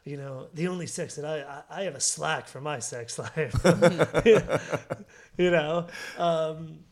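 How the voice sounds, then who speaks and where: A man's voice: fairly high pitch, slow pace. A man hosting a comedy podcast, chatting casually and riffing jokily as he gives notes on a script. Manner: jokey, riffing. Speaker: podcast host.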